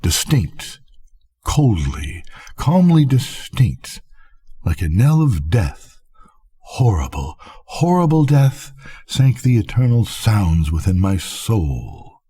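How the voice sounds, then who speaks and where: An older man reading a gothic literary tale aloud as a storyteller, solemn and theatrical, with a husky voice. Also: on the low side, sing-song, mellow. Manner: solemn, theatrical, measured delivery. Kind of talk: dramatic literary audiobook narration.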